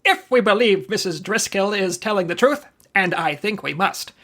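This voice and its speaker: An actor playing a clever, theatrical investigator who talks in a sly, probing way, in old-time radio detective style. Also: male voice, high-pitched, expressive, normal speed, bright, forceful tone, exaggerated voice. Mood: shrewd. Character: clever, theatrical male insurance investigator sleuth.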